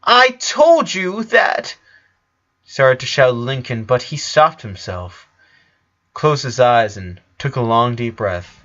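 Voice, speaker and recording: A man's voice: medium pitch, slow pace, a bit bright. A man narrating a sad story as a dramatic reading, on a dull, lo-fi recording. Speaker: fan fiction narrator.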